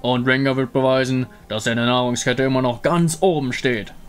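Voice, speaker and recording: Ein Mann spricht einen stolzen, prahlerischen Löwenkrieger in einem Fantasyspiel, der von sich in der dritten Person redet. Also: male voice, medium pitch, steady tone, a little fast, smooth, soft background music, natural voice. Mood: proud, pleading. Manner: speaks of himself in third person, boastful. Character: proud boastful male lion-beast hunter.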